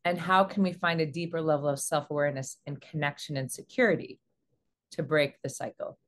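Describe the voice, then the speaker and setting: Woman, 40s, fairly low voice, earnest and measured coach delivering instructive advice to camera. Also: steady tone. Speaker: relationship coach and host. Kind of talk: explanatory advice talk to camera. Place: small indoor room.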